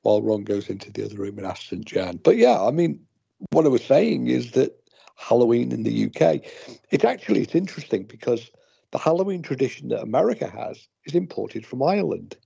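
British male co-host, husky and mellow, wry casual chat over slightly dull audio. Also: mid-range voice, sing-song, fairly quick. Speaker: podcast co-host.

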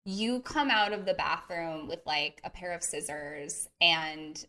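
A woman's voice: deep voice, a little slow, sharp tone. A woman hosting an interview, telling a story about the guest with enthusiasm. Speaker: interview host. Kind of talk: casual interview chat.

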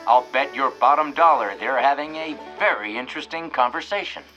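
A man's voice: medium pitch, a little fast, bright, forceful tone, highs cut off, natural voice. A man playing a cheerful, folksy small-town host who announces an old-time radio hour in a presentational way. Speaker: host and narrator. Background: plucked guitar music.

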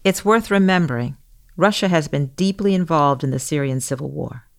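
Gravelly-voiced woman hosting a news podcast. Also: deep voice. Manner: measured, explanatory. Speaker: guest podcast host. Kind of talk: scripted news podcast narration.

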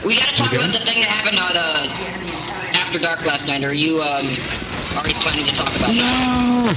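A man's voice: fairly high pitch, animated, brisk, heavy background noise. A man chatting casually as a guest on an internet call. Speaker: podcast guest. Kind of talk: casual chat.

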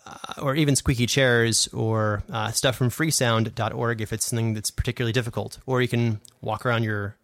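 A man co-hosting a podcast, explaining casually and a bit haltingly, as if thinking aloud. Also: mid-range voice, steady tone, dry recording. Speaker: podcast host and sound designer.

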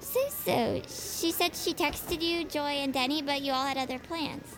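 A woman's voice: sits high, moderate pace, a little hiss or hum, poor audio. A young actress playing an eager, earnest teenage girl in naturalistic audio drama dialogue. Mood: awkward, hurt.

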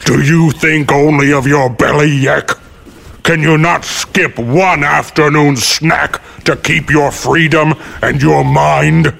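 Man voicing a gravelly, commanding great Yeti chief. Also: steady tone, takes it slowly, a bit bright, heavily acted. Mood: stern, commanding. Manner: rumbling, stern, menacing, formal.

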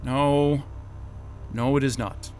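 An actor playing a nervous, disoriented job interviewee in an audio drama, hesitant, halting and defensive. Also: male voice, mid-range voice, steady tone, a little mellow, soft background music, natural voice. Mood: defensive, sheepish. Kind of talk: naturalistic audio drama acting.

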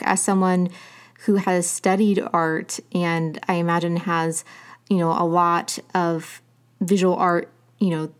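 A woman interviewing an author, chatty and enthusiastic, full of compliments. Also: fairly low pitch. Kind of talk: interview questions and praise.

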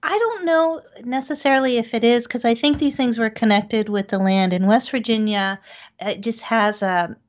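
An American woman recounting personal experiences conversationally as an interview guest, descriptive and pausing between phrases. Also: fairly high pitch, muffled recording. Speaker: podcast guest, paranormal investigator.

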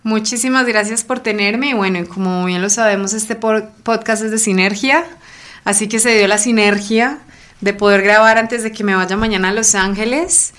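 A woman's voice: mid-range voice, steady tone, moderate pace, fairly bright tone. Enthusiastic Colombian-raised woman guest. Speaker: podcast guest. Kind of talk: casual interview.

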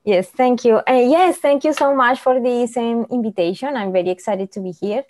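A woman from Colombia with a Colombian Spanish accent, telling a personal story as a guest in earnest, halting English phrasing. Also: a little high, a little mellow. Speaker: guest speaker, business owner. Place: video call from a computer.

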